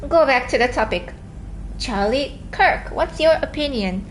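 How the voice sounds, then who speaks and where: High-voiced woman with a Japanese accent. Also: animated, soft background music. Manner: earnest, fast-talking, with halting restarts. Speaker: live streamer reading viewer chat. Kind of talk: casual live stream chat.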